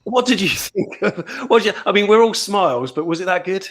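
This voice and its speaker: Upbeat, slightly high-voiced man hosting a fan show. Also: animated, fairly bright tone. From England. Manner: chatty, upbeat, quick asides. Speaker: fan channel host. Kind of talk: casual live fan show chat. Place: remote location on video call.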